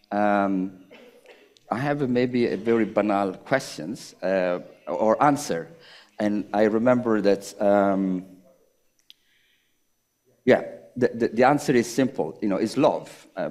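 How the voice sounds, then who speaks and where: Hesitant man, lowish voice. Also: a little mellow. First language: Italian. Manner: halting, hesitant, with many pauses and restarts. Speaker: panel speaker. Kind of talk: reflective public conversation.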